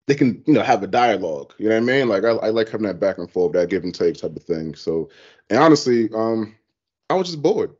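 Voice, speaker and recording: A laid-back man in his thirties with a lowish voice, drawling through a casual podcast chat. He talks into a studio mic in a small home room. The sound is a bit dull and lo-fi, like a video call. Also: darkish tone. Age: 30s. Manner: laid-back, drawling, says "you know what I mean" often. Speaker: podcast host. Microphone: headset with studio mic.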